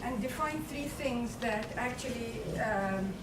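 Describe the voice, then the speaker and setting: A woman from Palestine speaking firmly and loudly as a conference panelist. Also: on the high side, takes it slowly. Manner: firm, declarative, loud voice. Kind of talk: conference presentation. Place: conference hall. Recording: echoey room, far mic, noisy.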